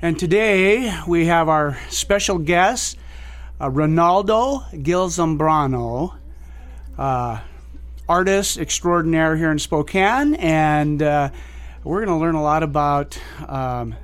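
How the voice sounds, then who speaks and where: A man's voice: a little high, expressive, slow pace, clear, resonant tone. A man co-hosting a community radio arts show, friendly and encouraging, with frequent ums and uhs. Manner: friendly, with fillers and encouraging backchannels. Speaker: radio co-host.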